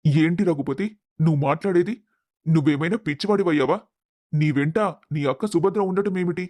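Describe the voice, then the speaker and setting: A man's voice: a little high, fairly quick, darkish tone, close to an everyday voice. A man playing a blunt, indignant old village man who talks in a scolding, emphatic way in a dramatized story. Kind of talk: dramatized moral story acting.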